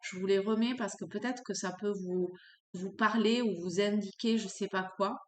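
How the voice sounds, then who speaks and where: A woman with a cold doing an unscripted tarot reading, pausing often and searching for words. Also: mid-range voice, steady tone, echoey room, a little hiss or hum. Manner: halting, searching for words with many fillers. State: has a cold. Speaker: tarot card reader.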